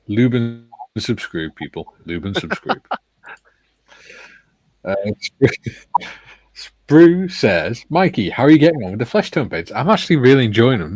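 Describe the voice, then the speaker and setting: A man hosting a live stream, casually reading out chat messages with chuckles and jokes. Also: medium pitch. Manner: chuckling, jokey.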